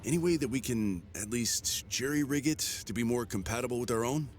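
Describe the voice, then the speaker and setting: An actor playing a grim, battle-worn mercenary commander in a video game, speaking in terse, measured lines. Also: male voice, mid-range voice, a little mellow, lightly acted. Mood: grim resolve. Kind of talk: cinematic game voice acting. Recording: music behind the voice.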